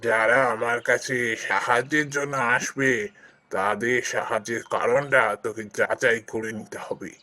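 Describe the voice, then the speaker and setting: A man playing a kind, generous old village landlord in a cartoon fairy tale, explaining things in a measured way. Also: medium pitch, fairly even intonation, bright, forceful tone, natural voice. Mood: benevolent, earnest.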